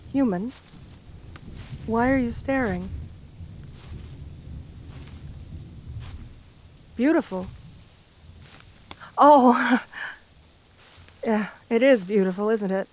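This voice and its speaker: A woman's voice: mid-range voice, darkish tone, a little hiss or hum, lightly acted. Woman voicing a shy, courtly gargoyle girl. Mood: shy delight. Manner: formal, polite, endearing diction with shy hesitations. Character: shy, lonely, romantic gargoyle woman, gentle and bookish. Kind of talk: ASMR fantasy roleplay, intimate one-sided dialogue.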